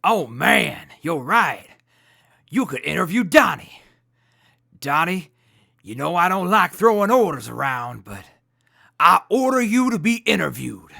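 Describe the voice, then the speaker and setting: A man's voice: high-pitched, sing-song, unhurried, creaky, bright, forceful tone, dry recording, clean studio sound, heavily acted. A man playing a laid-back wasteland crew leader in a radio drama, casual, slangy and breezily in charge. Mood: playful, commanding. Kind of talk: post-apocalyptic radio drama acting.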